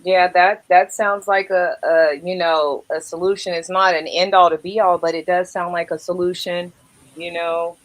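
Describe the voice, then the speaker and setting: A woman's voice: on the low side, steady tone, moderate pace. A woman with a Brooklyn accent co-hosting a casual livestream chat, rambling and filling nearly every phrase with "you know". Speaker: livestream co-host.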